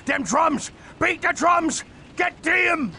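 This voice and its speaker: A man's voice: very high voice, lively intonation, slow, very noisy, full character voice. A man voicing a brutish, blustering orc warrior for a video game cutscene, crude and guttural. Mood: alarmed, bellowing. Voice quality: gravelly, guttural. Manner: crude, bellowing, rough broken grammar. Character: brutish orc warband fighters and their blustering boss.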